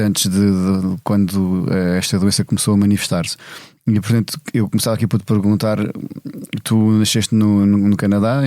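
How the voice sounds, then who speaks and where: A man's voice: fairly low pitch, darkish tone, dry recording, studio quality. A man with a European Portuguese accent hosting a conversational interview, phrasing his questions in a searching, hesitant way with repeated words. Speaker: interviewer, host.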